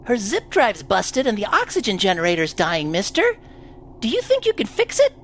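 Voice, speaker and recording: A woman's voice: medium pitch, wildly swooping pitch, normal speed, fairly bright tone, slight background noise. A woman voicing an earnest, eager boy scout in a parody of an old-time radio space serial. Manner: gee-whiz, wide-eyed, eager.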